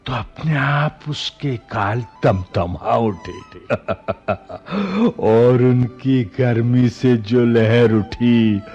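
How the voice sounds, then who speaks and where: A man playing a boastful, smitten male djinn in a radio play, with a husky, drawn-out delivery. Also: mid-range voice, a little mellow, muffled recording, soft background music. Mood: gleeful boasting. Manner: slow, drawn-out, boastful, chuckling.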